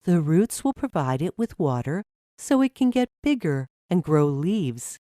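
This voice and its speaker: A woman voicing a patient, encouraging mother who explains things gently to her child in a storybook. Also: deep voice, sing-song, a little slow, dry recording, studio quality. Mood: patient, explanatory.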